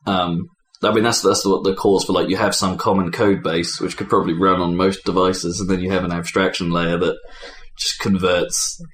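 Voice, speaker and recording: A man's voice: low voice, level tone, moderate pace, hardly any pauses, a bit bright. A man hosting a casual gaming podcast, rambling and opinionated in his talk. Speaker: podcast host. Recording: echoey room with faint background noise.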